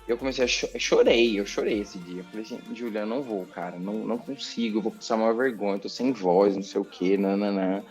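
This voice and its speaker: A man with a Brazilian accent, a TV journalist chatting as a podcast guest, telling a story in an animated, expressive way and repeating words for emphasis. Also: fairly low pitch, quick pace, few pauses, soft background music. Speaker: TV journalist and presenter, interview guest. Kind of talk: casual podcast interview storytelling.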